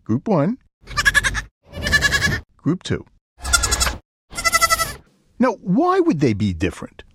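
A man's voice: fairly high pitch, wildly swooping pitch, very slow, background noise. A man from Brooklyn with a New York accent, hosting a public radio interview with curious, playful questions. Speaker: radio show host. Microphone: studio microphone.

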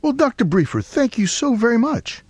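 A man's voice: extremely high pitch, big pitch swings, moderate pace, a little mellow, husky. A man from Brooklyn with a New York accent, hosting a public radio interview with curious, playful questions. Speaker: radio show host. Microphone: studio microphone.

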